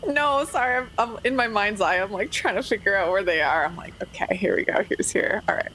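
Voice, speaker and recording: A woman's voice: medium pitch, a little fast, fairly bright tone, a little hiss or hum, rough recording. Woman chatting casually during a tabletop game.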